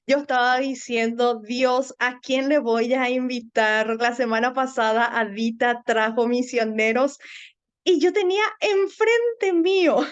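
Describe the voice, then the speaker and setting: Slow-talking woman host, muffled sound. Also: on the high side, clean tone. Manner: laughing, chatty, relaxed. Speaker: show host. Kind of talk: casual talk-show hosting.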